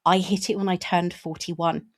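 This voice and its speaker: A woman's voice: on the low side, flat delivery, normal speed. A woman in her fifties hosting a book podcast, frank and conversational, with restarts. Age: 50s. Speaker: podcast host. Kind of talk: casual interview chat.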